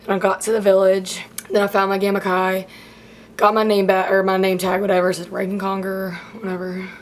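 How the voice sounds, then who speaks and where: A young woman in her late teens talks straight into a handheld phone camera, rambling through her day and packing in "like" at every turn. Her voice is a little hoarse and worn from losing it, sitting at a middling pitch. Faint ambient noise stays low behind her. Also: monotone, a little fast. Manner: chatty, rambling, many "like" fillers. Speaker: college freshman vlogger. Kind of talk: casual vlog.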